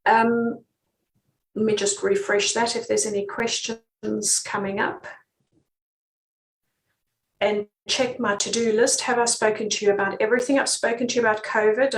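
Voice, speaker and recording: A woman's voice: mid-range voice, flat delivery, normal speed, a little hiss or hum. A British-accented woman giving casual relocation advice on a live stream, chatty with frequent ums. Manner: chatty, many ums, laughs easily. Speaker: relocation consultant. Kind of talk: live-stream advice reading viewer comments. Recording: echoey room.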